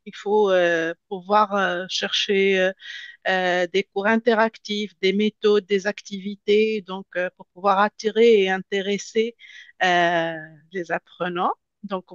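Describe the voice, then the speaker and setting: A woman's voice: mid-range voice, no echo. A woman who works as an adult education trainer, introducing herself in an online meeting with many hesitations. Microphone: computer mic on video call. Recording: dull video-call audio.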